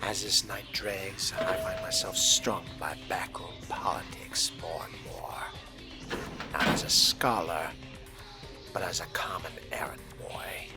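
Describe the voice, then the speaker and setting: Casting: man as a dryly curious, bookish vampire for game roleplay, deep and unhurried, measured and formal, music behind. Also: fairly even intonation, in a whisper. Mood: brooding. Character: brooding, scholarly male vampire fledgling, dryly curious. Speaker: player narrating in character. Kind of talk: in-character video game roleplay narration.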